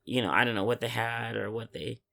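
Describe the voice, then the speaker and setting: A podcast co-host casually discussing films in a halting way, often searching for words. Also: medium pitch, fairly even intonation, gravelly, sharp tone.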